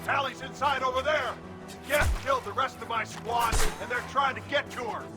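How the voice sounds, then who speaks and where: Defiant, clipped male alien soldier, game role, very high raised voice, helmet filter, music underneath. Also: steady tone, normal speed. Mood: urgent. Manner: clipped military talk. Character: gruff, defiant male alien marine squad leader. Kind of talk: video game dialogue. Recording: game audio with music behind.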